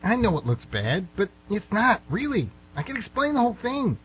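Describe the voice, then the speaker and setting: A man's voice: high-pitched, wildly swooping pitch, moderate pace, a bit bright, pitched above the performer's own voice, exaggerated voice, rough recording. A man playing a frustrated middle-aged suspect in a radio play, pleading and backtracking as he rambles. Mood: pleading, flustered. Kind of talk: amateur radio play acting. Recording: hum and clear background noise.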